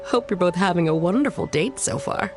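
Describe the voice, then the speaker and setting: An actress playing a teasing young female shrine maiden in a video game, with a playful, unhurried delivery. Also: on the low side, expressive, over music, lightly acted. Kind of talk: video game dialogue acting.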